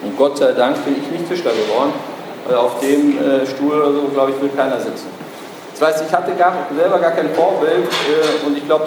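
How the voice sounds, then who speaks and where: A young man from Berlin tells anecdotes from a stage, with frequent hesitations and wry asides. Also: on the high side. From Berlin, Germany. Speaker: founder giving a talk. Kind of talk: anecdotal talk to an audience. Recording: echoey hall recording with noise.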